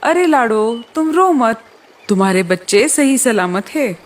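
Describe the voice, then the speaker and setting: A woman plays a helpful crow uncle in a kids' cartoon. His voice is on the high side, and he speaks kindly and reassuringly. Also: expressive, unhurried. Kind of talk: children's cartoon story dialogue.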